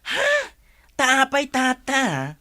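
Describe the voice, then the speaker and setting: A woman's voice: high voice, huge pitch swings, sharp tone, dry recording, heavily acted. A woman voicing a kind, earnest young male schoolteacher in a village radio drama, polite and concerned. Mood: pleading, concerned. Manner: polite, unhurried.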